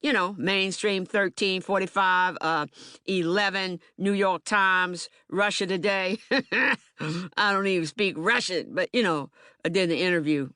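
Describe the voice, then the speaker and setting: A woman's voice: fairly low pitch, fairly even intonation, a little slow, bright, forceful tone, clean tone, no echo, studio quality. A woman performing a theater monologue as an agitated, streetwise young man, speaking emphatically and colloquially with stammered repetitions. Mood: animated, proud. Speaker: actor in documentary theater. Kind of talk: dramatic monologue, audiobook theater.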